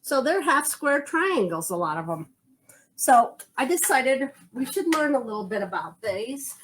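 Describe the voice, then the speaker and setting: A chatty woman with a fairly high voice talks casually as a quilt shop co-host. She rambles a little, stopping to search for words as she shows her projects. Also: very animated, unhurried, a bit bright, slight background noise, poor audio. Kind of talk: casual show-and-tell chat.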